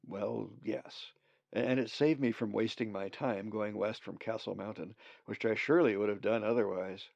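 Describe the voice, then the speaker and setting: A man's voice: fairly low pitch, steady tone, moderate pace, creaky, a little mellow, no room sound, highs cut off. A man voicing a curious young male raven, asking hesitant questions. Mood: puzzled.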